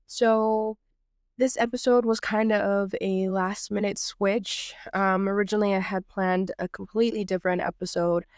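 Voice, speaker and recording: A woman getting over a cold, so her voice comes out nasal, speaking in a smooth, clear tone with a dry, close sound. She is from Texas and hosts her own podcast. She talks earnestly and reflectively, half-improvising with plenty of "um"s. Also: mid-range voice, fairly even intonation, normal speed, no echo. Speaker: podcast host.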